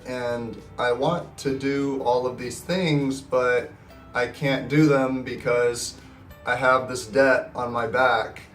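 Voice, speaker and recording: Young man in his twenties, sick, nasal and scratchy, upbeat and rambling, roomy echo, set back from the mic. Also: mid-range voice. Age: 20s. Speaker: personal finance vlogger. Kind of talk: casual talking-to-camera vlog. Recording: roomy echo, distant mic, faint guitar music.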